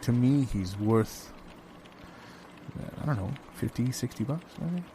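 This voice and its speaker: A man's voice: medium pitch, animated, measured pace, warm tone, slight background noise, close to an everyday voice. A man playing a hapless, evasive young eccentric bankruptcy client in an improvised comedy sketch, hesitant, literal-minded and rambling. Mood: oddly proud.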